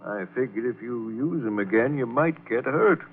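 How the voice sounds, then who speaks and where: A man's voice: mid-range voice, animated, moderate pace, slight background noise, close to an everyday voice. An actor playing a folksy, cautious older American man with a sly, colloquial drawl in an old radio drama. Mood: sly, fatherly. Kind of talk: 1940s-50s radio drama acting. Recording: tinny old radio, narrow band.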